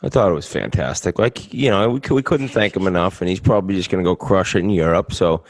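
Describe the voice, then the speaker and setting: A man's voice: deep voice, expressive, fast talker, no room sound. A man co-hosting a podcast, speaking in a friendly, casual way. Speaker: podcast co-host.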